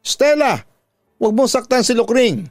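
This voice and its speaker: A man's voice: extremely high pitch, lively intonation, a little slow, dry recording, pitched above the performer's own voice. A man playing a harsh, domineering father in a radio drama, stern, berating and threatening. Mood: angry. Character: harsh, domineering father defending his mistress. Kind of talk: Tagalog radio drama acting.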